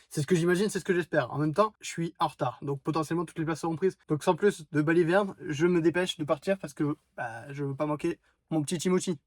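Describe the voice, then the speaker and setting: A young man vlogging on the go, excitable and rambling with lots of fillers. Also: a little high, no echo, studio quality. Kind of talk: casual on-the-go vlog. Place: outdoors and in a camper van.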